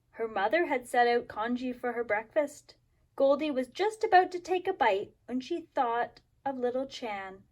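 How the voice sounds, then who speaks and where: A woman teacher speaking to kindergarteners, expressive and simply instructive, as in story time and craft lessons. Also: fairly high pitch. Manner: expressive, simple instructive phrasing for young children. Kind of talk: storybook read-aloud and craft instructions for children.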